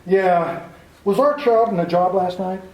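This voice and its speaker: An actor playing a blunt, hostile male police lieutenant in a 1940s radio drama, gruff and brusque. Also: sits high, normal speed, darkish tone, lightly acted. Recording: roomy 1940s radio broadcast.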